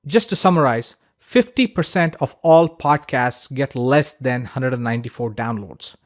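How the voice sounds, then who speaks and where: A man narrating a scripted online course as its instructor, in a conversational, emphatic, instructional way. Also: medium pitch.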